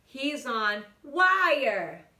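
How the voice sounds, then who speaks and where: A woman's voice: sits high, sing-song, drawn-out words, bright, forceful tone, reverberant, distant mic, pitched above the performer's own voice, full character voice. A woman voicing cheeky, gushing little kitten sisters with a teasing, sing-song delivery for a storybook.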